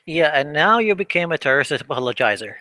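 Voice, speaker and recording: A man's voice: fairly high pitch, big pitch swings, fast talker, bright, forceful tone, dry recording. A man chatting casually on a stream, laughing often and joking sarcastically about politics.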